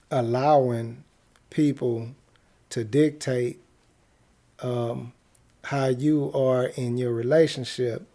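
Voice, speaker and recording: A man hosting a radio show, giving casual relationship advice in a halting, reflective way with frequent hesitations and repetitions. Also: mid-range voice, warm tone. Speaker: internet radio show host.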